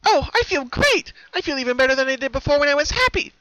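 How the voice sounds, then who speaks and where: A bouncy young girl pony from a cartoon, gushing with singsong cheer and a sinister edge. The voice is squeaky high and very bright, swinging wildly. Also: big pitch swings, quick pace, no room sound, exaggerated voice, rough recording. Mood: ecstatic.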